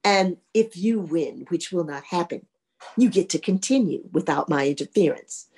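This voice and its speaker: A woman voicing a boastful, menacing skeleton ghost who makes slow, deliberate threats in a storytelling performance. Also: fairly low pitch, expressive, gravelly, darkish tone, pitched below the performer's own voice.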